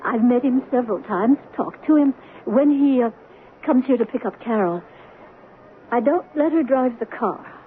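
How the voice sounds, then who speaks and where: Actress as an anxious mother, middle-aged, somewhat high, hesitant, tinny hissy radio. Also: expressive, moderate pace, natural voice. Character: worried mother of a teenage daughter. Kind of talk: 1970s radio drama acting.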